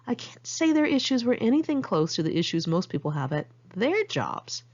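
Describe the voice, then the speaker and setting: A woman's voice: fairly high pitch, sing-song, moderate pace. A woman narrating her own memoir in a candid, conversational way, like an amateur audiobook read in one take. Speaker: author reading own memoir. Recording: muffled lo-fi amateur recording.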